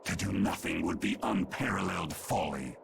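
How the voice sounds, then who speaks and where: A man voicing an ancient, stern, imperious creature in a video game, with gravelly, formal, solemn speech. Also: low-pitched, moderate pace, noisy background. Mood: stern judgment. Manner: formal, solemn, archaic phrasing. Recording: game music behind the voice.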